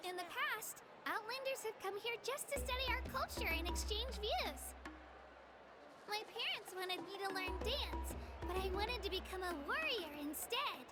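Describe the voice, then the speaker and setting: An eager young girl warrior in a game, her voice pitched very high and her delivery sincere and self-effacing. Loud game music plays under her lines. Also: animated, moderate pace. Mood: proud. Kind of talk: game cutscene voice acting. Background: loud game soundtrack.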